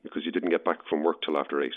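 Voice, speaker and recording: Through a thin, narrow phone line comes an Irish male journalist with a very deep voice. He is businesslike and probing, awkwardly blunt, in the natural style of audio drama. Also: steady tone, rapid-fire. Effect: telephone filter.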